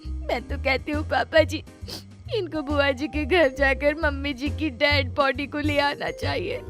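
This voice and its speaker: A woman's voice: sits high, lively intonation, normal speed, over music, voice pushed up. A woman voicing a gullible, melodramatic young daughter-in-law in an acted moral story, whiny and theatrical. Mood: solemn. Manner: theatrical, whiny, wailing when upset.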